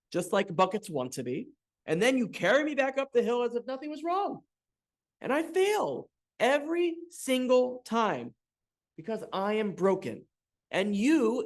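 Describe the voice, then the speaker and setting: A storyteller voicing a furious cracked bucket. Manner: indignant, raised pitch, accusing. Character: angry talking cracked bucket, bitter and self-pitying.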